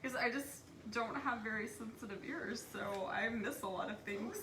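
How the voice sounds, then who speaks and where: A woman chatting casually on a live panel in an animated, talkative way. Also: on the high side, normal speed, distant mic, crowd noise. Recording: echoey room, away from mic.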